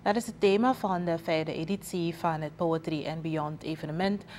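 A woman's voice: sits low, normal speed, a little mellow, slight background noise. A woman with a Surinamese accent presenting scripted news in a television studio.

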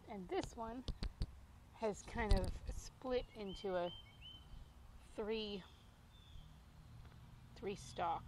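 A woman in her thirties giving a casual garden tour, talking into a handheld phone outdoors. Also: medium pitch. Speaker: gardening vlogger. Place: outdoors in a backyard vegetable garden. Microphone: handheld phone at arm's length. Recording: noisy outdoor phone recording.

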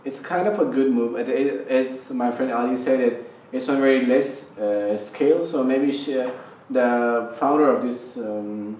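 A man speaking in a hesitant, halting way, with many fillers, on a panel. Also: medium pitch, darkish tone, distant mic, slight background noise. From Pakistan. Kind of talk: personal discussion. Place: studio with panel on a couch. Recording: echoey studio sound from off-mic.

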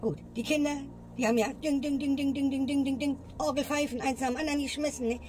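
A woman's voice: sits high, steady tone, quick pace, a crowd in the background. A woman ranting in a comedy sketch and ending her lines with a questioning tag. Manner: grumbling, tags lines with "ne?". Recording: music bed behind voice. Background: music.

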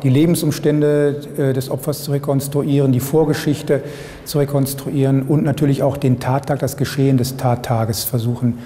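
A man's voice: mid-range voice, level tone, moderate pace, mellow. A man in his fifties giving a formal press statement as an official spokesman, recorded indoors. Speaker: public prosecution spokesman. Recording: noisy, reverberant indoor press interview.

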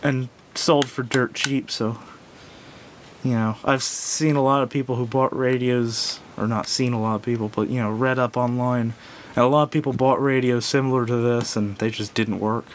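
A man giving a casual, unscripted product review in a halting, self-doubting way, recorded indoors at a tabletop on a camera microphone. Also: fairly even intonation. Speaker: gadget reviewer. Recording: clear background noise with low rumble.

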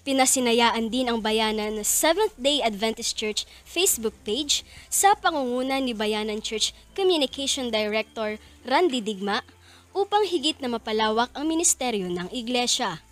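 A young woman reporting in a measured, clear manner, holding a handheld mic on a street outdoors. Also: on the high side, expressive, a bit bright. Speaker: field reporter. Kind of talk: scripted news report.

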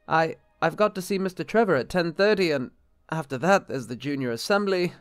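A man's voice: fairly high pitch, a little fast, clean tone, no echo, clean studio sound, faint music behind, close to an everyday voice. Man acting a flustered, skeptical bishop. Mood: harried. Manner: flustered, hesitant, formal. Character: anxious, exasperated, skeptical married male bishop. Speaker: actor. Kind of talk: radio-play style drama acting.

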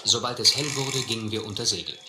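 Man acting a stern, low-voiced sea captain. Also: quick pace, echoey room, loud music behind the voice, natural voice. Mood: grave, narrating. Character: stern, determined sea captain narrating his adventure. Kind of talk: German audio adventure drama.

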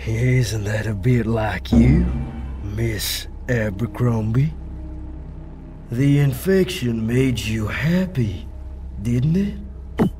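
A man performs a male mad doctor infected by fungus in a comic dub. He is smug and manic, with a theatrical, coaxing delivery. He takes his time over each phrase, over a music bed. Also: fairly low pitch. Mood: softly sinister.

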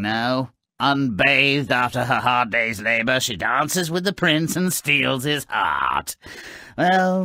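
An actor voicing a grotesque, sardonic dwarf who sneers through twisted fairy tales as a video game narrator, with a raspy, gravelly, theatrical delivery. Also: male voice, mid-range voice, bright, forceful tone, dry recording, clean studio sound, full character voice.

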